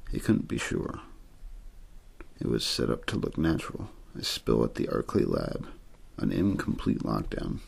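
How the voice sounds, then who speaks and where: A man reading a story aloud in ASMR style, soft-spoken and measured, with frequent pauses and a breathy, gravelly voice. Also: rumbling low, huge pitch swings. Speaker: audiobook-style reader for an ASMR channel.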